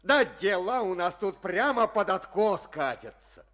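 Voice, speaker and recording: Grumbling hurt official, old radio drama, slow, lively pitch. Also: male voice, heavily acted. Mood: pleading. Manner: blustering, pleading, emotional. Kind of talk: 1950s Soviet radio drama acting.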